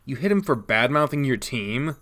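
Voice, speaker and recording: A man reading a visual novel game aloud, casual, jumping to high squeaks when surprised. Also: expressive, normal speed, a bit bright. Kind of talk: reading game text aloud with jokes aside.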